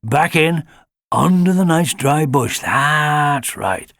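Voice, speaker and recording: A man voicing a brisk, stern adult male fox who scolds in a fatherly way. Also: fairly high pitch, expressive, creaky, a bit bright, no echo, studio quality, voice pushed up, exaggerated voice.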